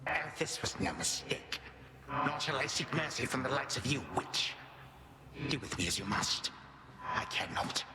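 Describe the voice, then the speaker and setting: A man's voice: medium pitch, lively intonation, moderate pace, natural voice. An actor playing a defeated, proud old titan grandfather in a video game, speaking in solemn, archaic, formal phrases. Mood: resigned, solemn. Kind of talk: dramatic video game voice acting. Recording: echoey hall reverb, noisy background.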